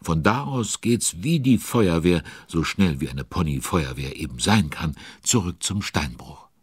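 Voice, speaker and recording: A man narrating a children's audio drama as a chatty, involved storyteller with conspiratorial asides and a husky voice. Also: low voice, lively intonation, a little slow, no echo, full character voice. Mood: involved, storytelling.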